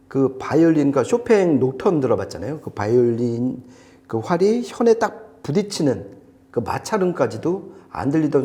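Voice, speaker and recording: A man's voice: medium pitch, dark tone. A man chatting through a product review in an enthusiastic, emphatic way. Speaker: audio gear reviewer on a shop's video show.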